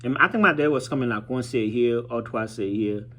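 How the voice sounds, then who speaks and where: A man, telling a personal story informally as a vlogger, halting and reflective as he searches for words. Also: medium pitch, sharp tone, slight background noise. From Haiti. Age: 30s.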